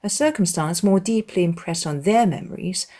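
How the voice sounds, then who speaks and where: A woman's voice: lowish voice, moderate pace, dark tone. A woman reading scripted literary narration as an audiobook narrator.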